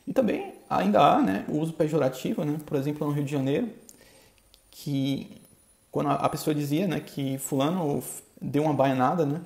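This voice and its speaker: A man from Bahia with a Bahian accent, hosting a podcast in a halting, casual monologue full of filler tags. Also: a little high, gravelly, mellow. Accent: Bahian Brazilian Portuguese. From Bahia, Brazil. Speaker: podcast host. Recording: echoey room.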